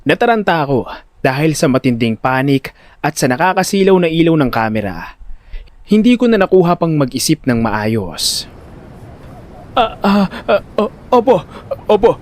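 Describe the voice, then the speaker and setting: A man's voice: fairly high pitch, lively intonation, moderate pace, pauses often, lightly acted. A man with a Filipino accent playing a panicky, talkative older brother who narrates his own story in an audio drama and gets easily flustered and exasperated. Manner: flustered, stammering when pressed, exasperated.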